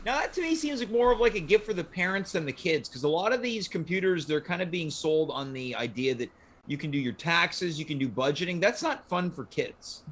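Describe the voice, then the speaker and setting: High voiced guy hosting a stream, really bouncy intonation, chatty. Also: lively intonation, a little fast, a bit bright. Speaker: show host. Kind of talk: casual livestream chat.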